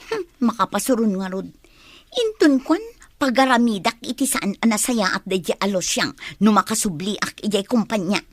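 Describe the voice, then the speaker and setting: An actress playing a sulky, jealous, complaining grown daughter in an Ilocano-accented radio drama. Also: female voice, medium pitch, lively intonation, normal speed, no room sound, studio quality, close to an everyday voice. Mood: vengeful. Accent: Filipino, Ilocano.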